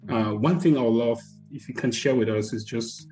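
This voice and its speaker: A man's voice: mid-range voice, normal speed, darkish tone, soft background music, poor audio. A man hosting a podcast, asking his guest loose, meandering questions. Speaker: podcast host. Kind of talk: casual podcast interview.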